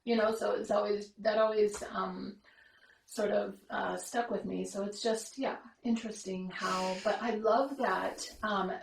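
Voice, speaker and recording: A woman's voice: mid-range voice, steady tone, a little slow, vocal fry, a little hiss or hum. Rambling reflective woman, echoey room. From Romania. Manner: rambling, reflective, many fillers and restarts. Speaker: podcast host. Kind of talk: casual conversation.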